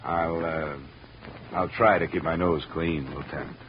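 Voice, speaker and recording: An actor playing a tough, wry insurance investigator in an old radio detective drama, clipped and dry. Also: male voice, deep voice, fairly even intonation, measured pace. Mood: dry, businesslike. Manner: clipped, hard-boiled, dry. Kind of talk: 1950s radio detective acting with narration. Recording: noisy, muffled old radio recording.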